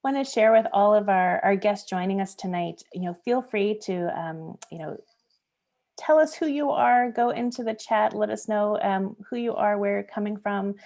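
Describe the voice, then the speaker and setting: A woman's voice: medium pitch, normal speed, gravelly, darkish tone. A woman hosting a live online book event, welcoming guests and asking questions with frequent fillers. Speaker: museum program host. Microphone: video call.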